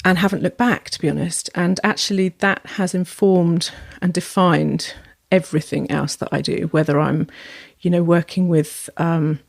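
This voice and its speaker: A woman's voice: on the low side, fairly even intonation, moderate pace, vocal fry, few pauses, dry recording, studio quality. A woman, a sound therapist and former singer, telling her story thoughtfully in a podcast conversation with many ums and restarts.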